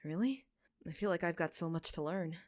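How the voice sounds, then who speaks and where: Gentle young shepherd read by a woman: compassionate, soft-spoken, earnest, for a fantasy audio story. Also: lowish voice, brisk, no echo, clean studio sound, lightly acted. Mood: bashful.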